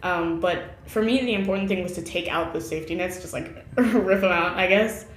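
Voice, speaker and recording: A woman's voice: fairly low pitch, fairly quick, echoey room, a little hiss or hum, low-quality recording. A woman chatting casually in an interview about how she learned a language, laughing often and using lots of fillers.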